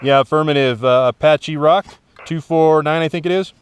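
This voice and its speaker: Man, fairly high voice, ham radio operator, phonetic call signs, outdoor recording, light noise. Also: normal speed. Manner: repeats letters and numbers for clarity. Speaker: amateur radio operator making contacts from a summit. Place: outdoors on a rocky summit.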